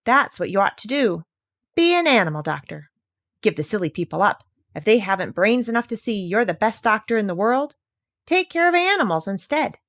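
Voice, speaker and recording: A woman voicing a proud, bossy female parrot in a high voice, speaking emphatically as if teaching. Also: sing-song, a little fast, a bit bright, no echo, clean studio sound. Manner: emphatic, instructive.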